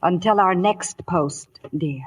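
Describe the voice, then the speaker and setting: A woman's voice: fairly low pitch, takes it slowly, breathy edge, rough recording. An older American army wife, bossy and full of affection. She gives orders tenderly. It comes from a vintage 1950s radio drama broadcast. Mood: tender, commanding.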